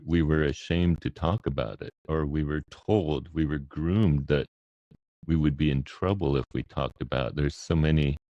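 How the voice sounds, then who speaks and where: A man's voice: very deep voice, mellow, dry recording, highs cut off. A man speaking as a guest, telling a personal account to an online audience. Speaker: guest speaker, podcast co-host.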